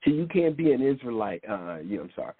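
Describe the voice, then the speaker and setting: A man's voice: medium pitch, sing-song, fast, husky, dry recording. A man preaching informally in a rambling, blunt way, often repeating words and restarting. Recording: tinny phone-like sound, dry.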